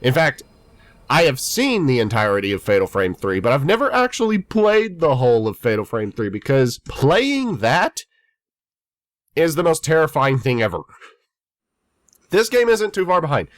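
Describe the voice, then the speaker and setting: A man's voice: medium pitch, very animated, normal speed, dry recording, studio quality. A man in his twenties, stuffy from a head cold, giving rambling, chatty let's-play commentary. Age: 20s. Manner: rambling, chatty, self-deprecating. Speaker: gaming YouTuber.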